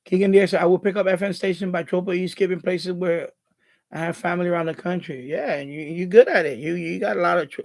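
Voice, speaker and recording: A man's voice: sits high, fairly even intonation, quick pace, gravelly, a little mellow. A man with a Bronx New York accent, hosting a live stream and chatting casually while he reads viewer comments aloud, rambling and restarting his words. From born in Puerto Rico, raised in the Bronx.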